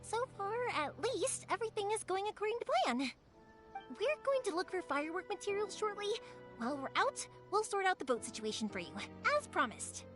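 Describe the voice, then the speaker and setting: Game heroine, young and warm-hearted, very high animated voice, chatty and casual. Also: female voice, fairly quick. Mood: reassuring, matter-of-fact. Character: cheerful, warm-hearted young woman, fireworks maker and doting daughter. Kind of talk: English video game character acting. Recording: music playing behind the voice.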